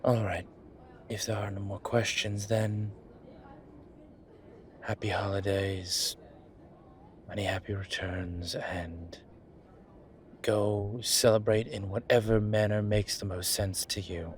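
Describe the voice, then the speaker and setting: A man playing a wry, laid-back incubus demon professor in a roleplay audio drama, talking with breathy pauses and trailing sentences. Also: fairly low pitch, flat delivery, slight background noise. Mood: dry, authoritative. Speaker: voice actor. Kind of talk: roleplay audio drama, one side of a conversation.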